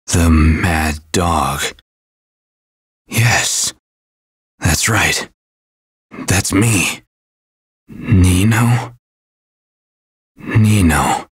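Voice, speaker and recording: Savage game mercenary, dazed mad-dog menace, very slow, rough husky voice, dry sound. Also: male voice, deep voice, a bit bright, dry recording, exaggerated voice. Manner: halting, menacing. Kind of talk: video game voice acting.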